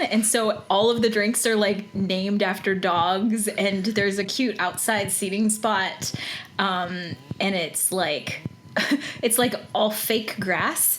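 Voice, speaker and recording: A woman hosting a podcast in a chatty, effusive way, moving between scripted introductions and casual interview talk. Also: medium pitch. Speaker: podcast host.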